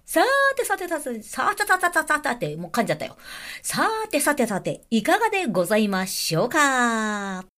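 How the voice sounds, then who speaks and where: A woman's voice: a little high, big pitch swings, moderate pace, fairly bright tone. A woman hosting a radio show, chatty and polite with a playful, theatrical delivery.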